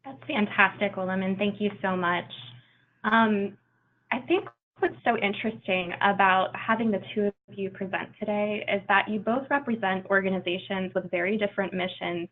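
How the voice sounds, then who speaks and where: A woman's voice: mid-range voice, a little hiss or hum. A woman moderating a webinar, thanking her guests often and posing questions in an appreciative manner. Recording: tinny phone-like line.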